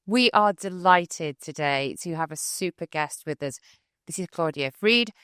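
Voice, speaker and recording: A woman's voice: fairly low pitch, animated, unhurried, fairly bright tone, clear, resonant tone, dry recording, clean studio sound. A woman co-hosting a podcast, introducing a guest in an enthusiastic, effusive way. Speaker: podcast co-host. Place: conference venue.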